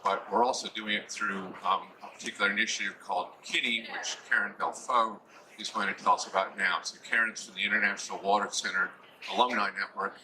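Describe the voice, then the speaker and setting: A man chairing a conference session, speaking in a measured way with some filler hesitations as he introduces the next speaker. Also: on the low side, steady tone, sharp tone, slight background noise, poor audio.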